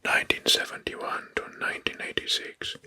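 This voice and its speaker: A man narrating facts as an ASMR host in a hushed, breathy whisper, deliberately repeating phrases. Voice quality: breathy, mostly unvoiced whisper.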